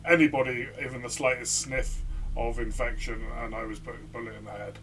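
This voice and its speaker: A man with a medium-pitched voice chats casually about a game. He is tentative and circles back over his words, sounding a little away from the mic with faint noise around him. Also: flat delivery, brisk, a bit bright, far from the mic, rough recording. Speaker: player and co-reviewer. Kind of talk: casual review chat.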